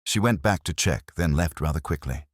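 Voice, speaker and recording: An uneasy woman suspect in a mystery audiobook, read by a deep-voiced man. The delivery is hesitant and defensive, husky and full of shifting inflection. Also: lively intonation, normal speed, dry recording, studio quality. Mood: evasive. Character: uneasy, evasive woman confessing to a petty scheme.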